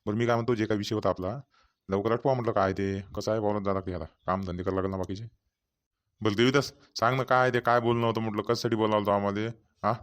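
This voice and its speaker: Talkative cartoon village farmer, male actor: quick, slightly low, colloquial and rambling, rural Vidarbha Marathi accent. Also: steady tone, natural voice. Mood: businesslike, chatty. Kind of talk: Marathi cartoon comedy dialogue.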